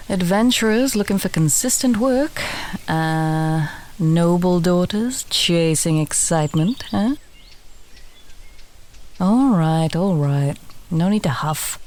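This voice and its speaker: A woman playing a friendly, teasing village alchemist in a fantasy audio roleplay, talking gently and conversationally to the listener. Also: on the low side, takes it slowly. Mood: curious, coaxing. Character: friendly female village alchemist, warm, teasing, earthy, encouraging.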